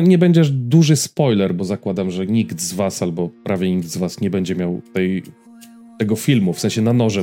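A Polish man co-hosts a pop-culture podcast in a fairly low, soft-edged and mellow voice. He chats informally, explaining things to his partner and the listeners. Faint music plays underneath. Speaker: podcast co-host.

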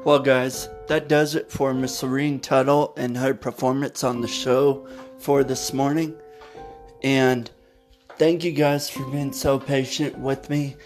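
A man hosting an old-time radio podcast, calmly wrapping up the episode and announcing upcoming shows in a deliberate way. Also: mid-range voice, soft background music. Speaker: podcast host. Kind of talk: casual podcast outro.

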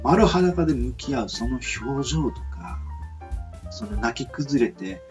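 A man's voice: medium pitch, big pitch swings, slow pace, a little mellow, faint music behind. A man hosting an amateur radio show in a rambling, reflective monologue, hesitant and searching for words in a polite, earnest way. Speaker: radio host. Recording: dull lo-fi audio.

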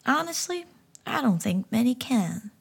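A gentle, wise little frog with a warm, amused way of speaking, as a woman storyteller puts him on. The voice is whispery and gravelly, with lively rises and falls. A soft storybook figure. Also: on the high side, a little slow, voice pushed up, exaggerated voice. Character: kind gentle talking frog, small and wise.

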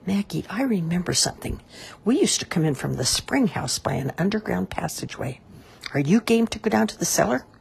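A woman's voice: on the low side, sing-song, fairly quick, vocal fry, breathy edge, pitched above the performer's own voice, exaggerated voice. A woman voicing a bold, good-hearted, whiskered old salty man in a children's story, with hearty, folksy delivery. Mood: uneasy, determined.

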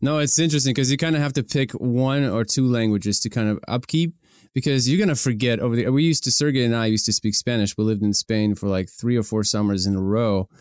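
A man's voice: medium pitch, quick pace, a little mellow, clear, resonant tone, no echo. Guy in his 30s hosting a podcast, native russian speaker, chatty, mid-range voice. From United States, arrived at thirteen as a refugee. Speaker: podcast host. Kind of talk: podcast interview and casual chat.

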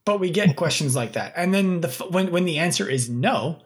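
Emphatic husky-voiced male podcast host. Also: a little high, lively intonation, moderate pace. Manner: emphatic, preachy, repeats "right?". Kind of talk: casual podcast chat.

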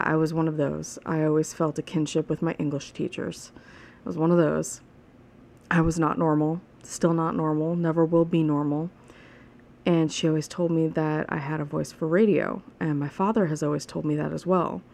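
Solo podcast host, woman, 30s; deep-set and monotone with vocal fry, chatty, frank and rambling. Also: slight background noise. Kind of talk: casual solo podcast monologue. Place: alone at home.

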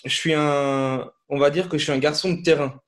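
A man from Switzerland, a podcast guest and fitness coach, speaking in a relaxed, halting way with many fillers and restarts. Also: fairly high pitch. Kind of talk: casual podcast interview.

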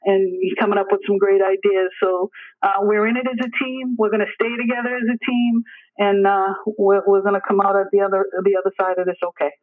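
A man's voice: high-pitched, little pitch movement, brisk. A middle-aged man speaking earnestly and emphatically as an emergency dispatch leader answering interview questions, often repeating his phrases for weight. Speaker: emergency dispatch deputy superintendent. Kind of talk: interview answers, informal explaining. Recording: tinny narrow phone or radio line.

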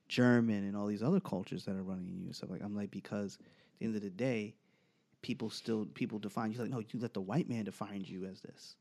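A man's voice: fairly low pitch, a little mellow. A man hosting a podcast, talking in a laid-back, rambling way full of restarts. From United States. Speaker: podcast host. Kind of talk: casual debate chat.

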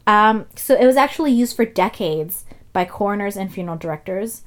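Give me a young woman co-host for whom English is not a first language, chatty and animated, laughing as she tells stories. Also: a little fast. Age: young adult. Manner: animated, acts out quoted dialogue with big pitch jumps, laughs often. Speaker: podcast co-host. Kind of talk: casual chat and storytelling.

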